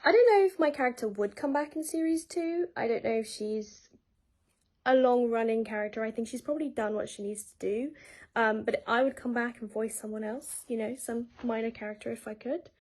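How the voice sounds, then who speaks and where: A woman's voice: a little high, fairly quick, darkish tone. A woman chatting casually in an interview as a voice cast member.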